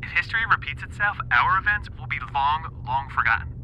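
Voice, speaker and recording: An actor playing an eager, earnest professor in an audio drama, speaking deliberately over a satellite phone. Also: male voice, on the high side, animated, sharp tone, muffled recording, slight background noise. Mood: grave, urgent. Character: eager male professor, earnest scholar warning of returning threat.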